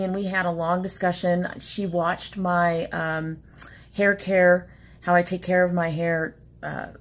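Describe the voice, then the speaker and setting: A woman in her forties chatting casually through an unboxing, rambling and catching herself mid-sentence, a bit sniffly from allergies, recorded on a webcam in a small room at home. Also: fairly low pitch. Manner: chatty, rambling, self-interrupting. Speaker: beauty vlogger. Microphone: webcam at desk.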